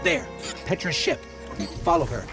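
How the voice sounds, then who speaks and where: An actor playing a loyal, watchful companion guiding the hero in a video game, with brief, purposeful delivery. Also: male voice, on the high side, huge pitch swings, a little slow, vocal fry, exaggerated voice. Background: loud music.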